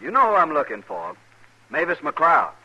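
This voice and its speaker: A man's voice: on the high side, huge pitch swings, normal speed, sharp tone, slightly rough, muffled recording, exaggerated voice. An American actor playing a menacing, haughty, jealous man in an old radio western, with curt and threatening delivery. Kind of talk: mid-century American radio western acting.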